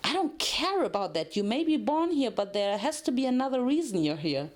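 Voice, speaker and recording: A woman's voice: on the high side, very animated, a little fast. A woman voicing a blunt, impatient, weary rebel farm mare held prisoner, in a dramatic cartoon fanfiction reading.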